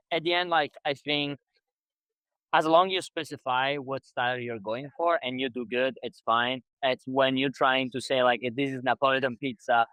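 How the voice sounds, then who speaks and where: A man's voice: mid-range voice, fairly bright tone, dry recording, poor audio. A man with an Italian accent hosting an interview, chatting casually in loose, broken phrases. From Italy. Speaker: podcast host.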